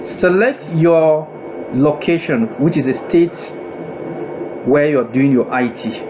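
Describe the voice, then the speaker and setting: A man with a Nigerian accent giving a deliberate, step-by-step software tutorial voice-over, phrase by phrase, as an instructor. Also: on the high side. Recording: noisy room with steady air-conditioning-like hum.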